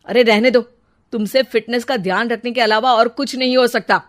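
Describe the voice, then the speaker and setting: A woman voicing an irritable young husband in a cartoon story, scolding loudly and finding fault. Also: on the high side, fairly even intonation, normal speed, natural voice. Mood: exasperated.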